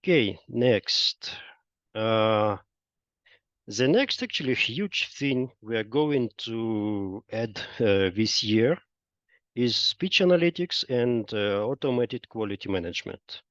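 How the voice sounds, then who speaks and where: Slow, halting man presenting in a roomy space. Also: on the low side, lively intonation, fairly bright tone. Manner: halting, explanatory, frequent pauses and hesitations. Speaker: software company presenter. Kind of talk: webinar product presentation. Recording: dull lo-fi roomy online webinar audio.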